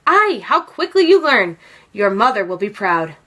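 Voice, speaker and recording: A woman's voice: medium pitch, sing-song, measured pace, pushed and bright, full character voice. A woman voicing an encouraging, exuberant cook in a storybook, exclaiming praise.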